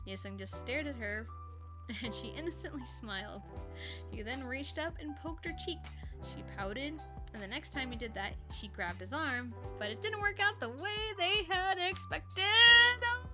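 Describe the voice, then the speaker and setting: A woman streamer reading fan fiction aloud in a casual way, given to breaking off for offhand reactions of her own. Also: high-pitched. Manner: breaks off to react and giggle at the story. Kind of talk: casual live story reading with offhand asides.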